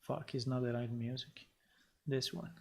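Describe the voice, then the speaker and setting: A man speaking at an unhurried, slow pace in a middle pitch. He talks casually as a game developer working on a live stream. He thinks aloud, stopping and restarting with many fillers. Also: steady tone, vocal fry, very noisy.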